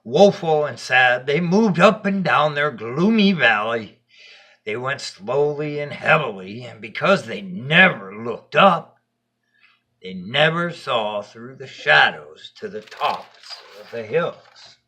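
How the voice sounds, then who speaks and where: A man voicing Death as a gentle, wise, solemn storyteller in a bedtime tale. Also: mid-range voice, lively intonation, slow pace, husky, exaggerated voice. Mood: storytelling.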